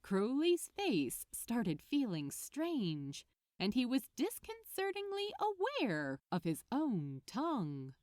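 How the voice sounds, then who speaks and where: A woman's voice: a little high, wildly swooping pitch, slow, a little mellow, no echo, studio quality. A woman narrating fiction expressively as an audiobook reader, reading from a script.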